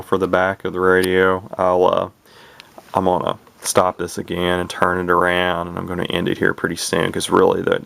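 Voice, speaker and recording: A man's voice: low-pitched, vocal fry, fairly bright tone. A man giving a casual, unscripted product walkthrough as a hobbyist reviewer, rambling a little and repeating himself. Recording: noisy amateur camcorder audio.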